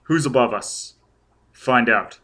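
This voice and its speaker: A man's voice: mid-range voice, slow, sharp tone. A man playing a driven, intense, demanding adult man in a dramatic audiobook story. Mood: urgent. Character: driven, raging adult man hunting for answers.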